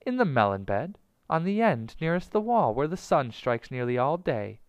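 A man's voice: a little high, very animated, normal speed, clean tone, dry recording, muffled recording, pitched above the performer's own voice. A man voicing a flighty, adoring male tailorbird in a storybook, gushing and grandiose. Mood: eager, anxious. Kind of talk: storybook character voice.

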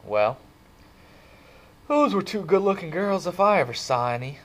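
A man's voice: high voice, animated, moderate pace, a bit bright, voice pushed up. A man doing a cocky wisecracking teenage boy. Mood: easygoing.

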